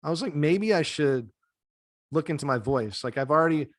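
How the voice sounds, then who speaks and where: A man in his thirties, a yoga teacher, jokes in a relaxed way on a podcast video call. His voice is soothing and slightly higher than average. It comes through a close desk mic in a dim home room, so it sounds dry. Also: moderate pace, no room sound. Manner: joking, laid-back, soothing. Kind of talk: casual podcast chat.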